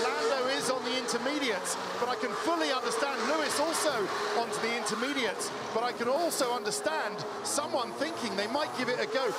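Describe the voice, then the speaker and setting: A man reports live from an outdoor pit lane in a very high voice. His delivery is chatty and excitable, set against loud vehicle noise.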